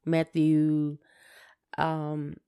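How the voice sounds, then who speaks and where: A woman's voice: sits low, completely flat delivery, drawn-out words, warm tone, smooth. A woman co-hosting a podcast, talking casually and plainly, often repeating short phrases. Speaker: podcast co-host. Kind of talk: casual conversation.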